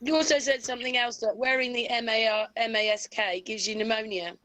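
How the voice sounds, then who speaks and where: A woman's voice: fairly high pitch, steady tone, brisk, noisy background. A woman in casual, opinionated discussion on an online call. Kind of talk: casual conspiracy-minded discussion.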